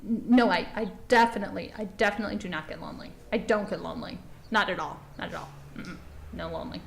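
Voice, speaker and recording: A woman's voice: lowish voice, fast talker, creaky, slight background noise. A woman playing a friendly, nervously insistent produce stand owner, stammering and repeating herself as she answers documentary interview questions. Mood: flustered, defensive.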